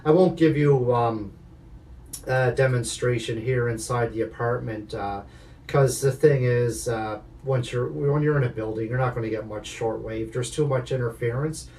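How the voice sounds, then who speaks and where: A man's voice: medium pitch, normal speed, a little mellow. Guy doing a casual rambly gadget review, mic kinda far away, bit of noise. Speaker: video maker reviewing a radio. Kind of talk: casual unscripted product review. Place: inside an apartment.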